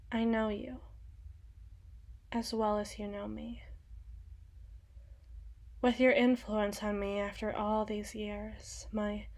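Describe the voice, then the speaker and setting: A woman playing a haughty, possessive young empress in a theatrical ASMR roleplay, speaking in an imperious, mocking manner with dramatic pauses. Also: medium pitch. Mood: low, intense.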